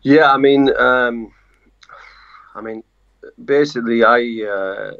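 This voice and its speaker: A man with a northeast English accent, speaking as a journalist guest in a casual remote interview, halting with frequent pauses. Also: medium pitch, gravelly, fairly bright tone, dull audio. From northeast England.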